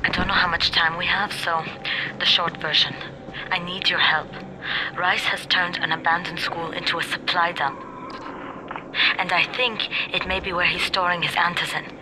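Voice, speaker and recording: A woman's voice: fairly low pitch, little pitch movement, moderate pace, lightly acted. An actress playing a determined young female fighter in a video game, speaking directly and with urgency. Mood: urgent. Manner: direct, terse, purposeful. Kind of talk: video game cutscene acting. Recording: clearly noisy background, outdoor ambience.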